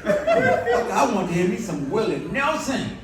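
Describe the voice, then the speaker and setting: A man in his sixties doing loud, profane, rambling stand-up comedy at a bar and grill. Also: high voice, wildly swooping pitch, a little slow, a loud crowd. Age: 60s. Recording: echoey club recorded from far off. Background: audience laughter.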